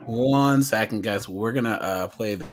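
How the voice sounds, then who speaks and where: A man's voice: lowish voice, normal speed. A man hosting a casual livestream reaction, chatty and rambling as he laughs along. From United States.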